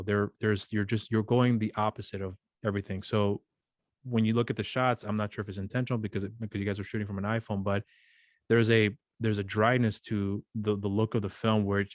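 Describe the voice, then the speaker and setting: A man's voice: fairly low pitch, no echo. A man hosts a casual interview. He asks long, wandering questions, stumbling and restarting as he goes. The sound is dry and close. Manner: rambling, stammering questions with many restarts. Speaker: podcast host.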